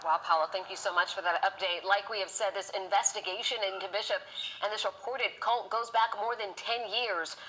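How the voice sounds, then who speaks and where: A woman anchors a TV newscast, reading her script in a polished, steady way. Her voice is bright and clear. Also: mid-range voice, brisk, rough recording. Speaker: TV news anchor.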